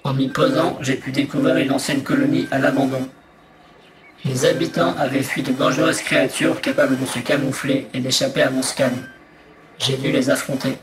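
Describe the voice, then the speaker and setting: A man playing a small, methodical exploration robot in a sci-fi audio drama, speaking in a measured, matter-of-fact way. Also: mid-range voice, level tone, over loud music, lightly acted, rough recording. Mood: formal reporting. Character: small exploration robot, methodical, dutiful scout reporting findings. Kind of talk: scripted sci-fi audio fiction monologue.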